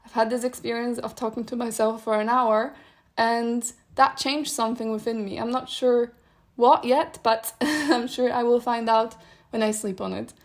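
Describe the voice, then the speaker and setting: A young woman whose native language is Polish talks through her thoughts on a solo podcast. Her voice sits a little high but stays fairly level in tone, and she muses in a meandering way, with soft laughs between ideas. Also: steady tone, moderate pace. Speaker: podcast host. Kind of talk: solo reflective podcast monologue.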